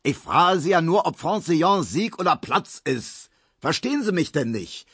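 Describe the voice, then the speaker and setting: A man reading a novel aloud, voicing a choleric middle-aged man who blusters and shouts about his betting losses. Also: a little high, big pitch swings, moderate pace, breathy edge, dry recording, studio quality, pitched above the performer's own voice, heavily acted. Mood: exasperated. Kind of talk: radio book reading.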